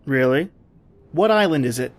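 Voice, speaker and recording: A man's voice: mid-range voice, animated, normal speed, lightly acted. A man acting a confident, dismissive big-game hunter who narrates his ordeal in an old-time radio drama. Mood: relaxed.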